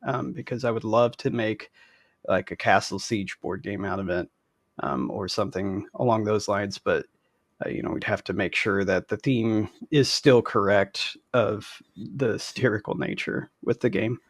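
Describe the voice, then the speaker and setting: A man's voice: fairly low pitch, moderate pace, no room sound. A man talking casually as a game creator being interviewed on a video call.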